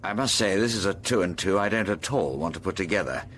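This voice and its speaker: A man acting in a British radio drama as a polite, measured amateur detective. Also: sits low, animated, a bit bright. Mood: thoughtful. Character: gentlemanly, concerned male amateur detective.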